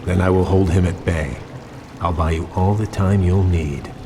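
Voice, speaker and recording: An actor playing a proud, noble elven prince, speaking formally and resolutely in a fantasy game. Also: male voice, low-pitched, normal speed, noisy background. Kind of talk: dramatic fantasy game acting. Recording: game music under the voice.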